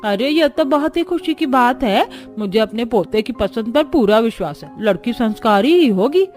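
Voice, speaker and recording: A woman's voice: on the high side, expressive, moderate pace, a little mellow, soft background music, natural voice. Woman playing a kindly old cartoon grandmother. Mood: pleased. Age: older. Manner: affectionate, blessing. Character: kindly old grandmother-in-law, trusting and affectionate.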